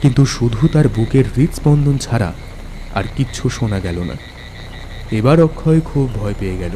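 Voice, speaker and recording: A man's voice: medium pitch, expressive, normal speed, mellow, slight background noise. A man narrating a scripted ghost story as a dramatic storyteller. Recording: music bed under narration.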